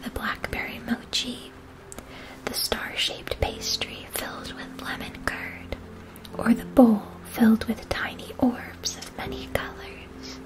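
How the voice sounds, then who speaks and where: A woman whispering a gentle, soothing ASMR fantasy roleplay, unhurried and carefully enunciated, addressing the listener directly. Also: on the high side, level tone, poor audio. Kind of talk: whispered ASMR, second-person fantasy story with choices.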